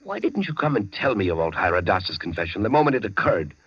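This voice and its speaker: An actor playing an anguished condemned prisoner and amateur sleuth in a theatrical 1940s radio drama style. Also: male voice, lowish voice, sing-song, quick pace, husky, dry recording. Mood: indignant. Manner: brooding, theatrical, intense. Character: anguished condemned man, a feverish amateur sleuth in his cell. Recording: dull vintage 1940s radio, music behind.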